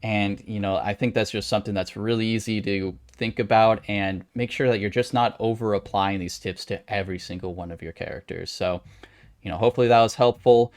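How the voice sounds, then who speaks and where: A man giving casual writing advice as a channel host, talking in a rambling, folksy way with animated asides. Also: fairly low pitch, a little fast. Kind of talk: casual advice vlog talk.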